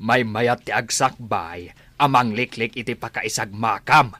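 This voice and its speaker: A man's voice: medium pitch, unhurried, pushed and bright, no room sound. A man playing a grumbling, worried older rural father in an Ilocano-accented radio drama, scolding as he talks.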